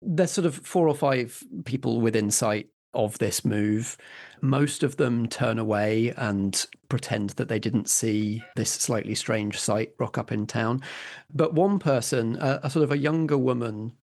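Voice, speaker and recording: Man narrating as game master, medium pitch. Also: measured pace, a little mellow. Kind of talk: improvised narration and rules explanation for tabletop roleplay.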